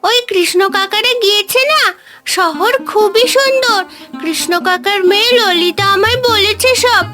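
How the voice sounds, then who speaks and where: A woman's voice: extremely high pitch, normal speed, music in the background. A woman voicing a small village girl who pleads with her father in a cartoon. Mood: excited. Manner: pleading, childlike.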